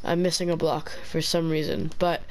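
A man's voice: on the high side, moderate pace. A young man giving casual, rambling commentary while playing, groggy from an early morning and often correcting himself. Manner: rambling, self-correcting, relaxed. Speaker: gaming channel host. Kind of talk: casual let's play commentary.

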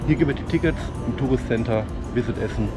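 A man's voice: medium pitch, quick pace, music in the background. A man, chatting casually as he walks through a city on a hiking vlog, talking frankly with fillers and regional slang. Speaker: vlogger, urban hiking guide. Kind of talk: casual walking vlog commentary. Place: outdoors on city streets and parks. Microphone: chest-mounted action camera.

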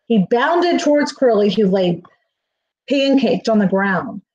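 A middle-aged woman reading a children's picture book aloud in an expressive storytelling manner. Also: medium pitch, unhurried, dull audio, a little hiss or hum. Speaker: school staff reading aloud. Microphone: webcam on a video call, near.

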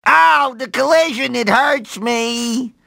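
A man voicing a nerdy, excitable, absent-minded cartoon mad scientist in a video game, nasal and full of rambling jargon and odd exclamations. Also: very high voice, slow pace, creaky, no room sound, studio quality, exaggerated voice. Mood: alarmed, frantic.

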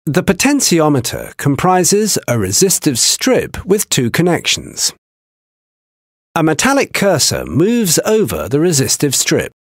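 A man giving scripted technical narration for a training video, with a measured, explanatory delivery. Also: fairly high pitch, breathy edge, dry recording, clean studio sound.

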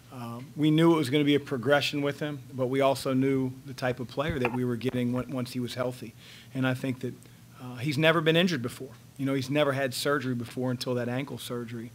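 A man in his fifties, a head coach answering questions at a post-game press conference, speaking in a measured, halting way with many fillers, into a table microphone in a press room. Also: mid-range voice. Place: press room in front of a sponsor backdrop. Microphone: table microphone on a stand.

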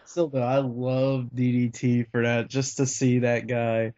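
A man's voice: mid-range voice, level tone, unhurried, dark tone. A young man co-hosting a casual chat, joking and laughing easily. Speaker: co-host. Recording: dull lo-fi voice chat audio.

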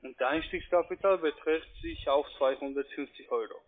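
A man with a foreign accent, not a native speaker, making an insistent phone sales pitch in halting phrases. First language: not German. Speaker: call center investment agent. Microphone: phone call. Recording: tinny phone line, crowded background.

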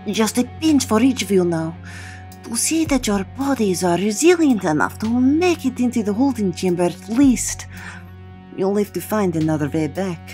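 Woman playing a commanding, expressive supernatural heroine. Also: medium pitch, moderate pace, close to an everyday voice. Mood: resolute. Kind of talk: audio drama acting. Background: music.

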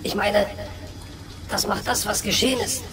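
Guy as weak pleading prisoner in audio play, slightly distant, loud music. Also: sits high, steady tone, normal speed. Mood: distressed. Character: weakened, pleading male captive. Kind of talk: radio drama acting.

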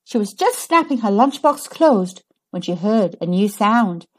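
A woman reading a children's story aloud with dramatic, deliberate, expressive storytelling. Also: medium pitch. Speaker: storybook reader.

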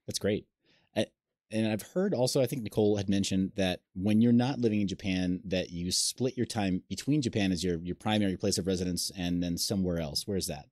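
30s guy hosting over video call, lowish voice, dry sound, keeps restarting his questions. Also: fairly quick, a little mellow, no echo. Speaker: host. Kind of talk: casual video call interview. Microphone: webcam on a video call.

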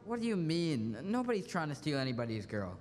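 A man's voice: on the high side, very animated, a little fast, a little hiss or hum. A young man playing a restless, stubborn small-town man in a live radio play. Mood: grumpy, evasive. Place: large auditorium stage, standing at mic. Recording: reverberant school auditorium, music behind.

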